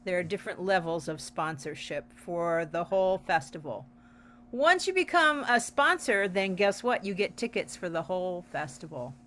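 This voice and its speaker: A woman's voice: on the low side, sing-song, normal speed, fairly bright tone. A woman organizer giving an informal announcement on a video call. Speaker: festival organizer. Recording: faint noise on video call.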